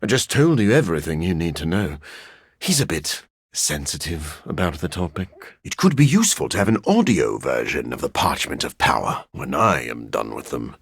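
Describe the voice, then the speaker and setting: A brooding male vampire scientist from a video game. His voice is husky and gravelly, with pitch that swings very widely. He speaks formally and awkwardly, with deadpan wit, recorded close and very dry. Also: normal speed, no room sound, full character voice.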